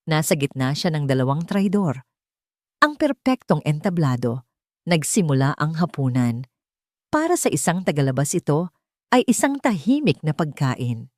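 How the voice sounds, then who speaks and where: A Filipino woman narrates a dramatic story in a slightly low voice. Her intonation moves widely up and down, and the recording is dry and close. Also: sing-song, moderate pace, no echo.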